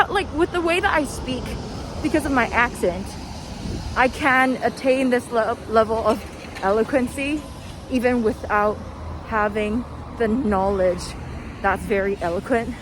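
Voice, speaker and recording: Young woman vlogger, casual, tired, rambly; street traffic clearly heard behind her. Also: medium pitch. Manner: tired, chatty, lots of fillers. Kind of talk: casual rambling talk while walking. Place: outdoors by a road with traffic.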